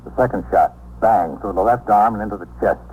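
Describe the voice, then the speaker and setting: Man acting skeptical American detective lieutenant, deep voice, curt, insistent, 1950s radio drama, faintly noisy recording. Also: fairly quick, phone-quality sound, natural voice. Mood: stern, insistent. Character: hard, skeptical male police detective lieutenant.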